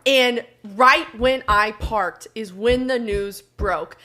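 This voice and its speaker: A young American woman co-hosting a sports podcast, telling stories in an excitable, dramatic and emphatic way as casual banter. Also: on the high side, slow. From Colorado, USA.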